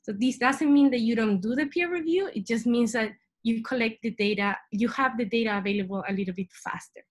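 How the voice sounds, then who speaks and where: A young woman with a Chilean Spanish accent presents science informally. Her voice sits a little high. She speaks as a graduate student explaining research over a webcam call from a room at home. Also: brisk. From Santiago, Chile. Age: young adult. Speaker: neuroscience PhD student, presenter. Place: small indoor room at home. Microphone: webcam video call.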